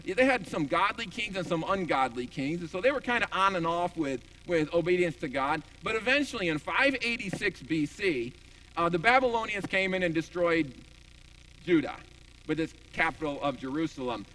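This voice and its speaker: A man in his forties preaches from the pulpit in an easy, joking way, poking fun at himself as he goes. His voice sits high and rings bright and forceful, and his talk is full of stops and restarts. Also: slight background noise, rough recording. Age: 40s. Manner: animated, joking, self-deprecating storyteller with many restarts. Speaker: senior pastor. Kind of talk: conversational sermon with personal stories and jokes. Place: church sanctuary.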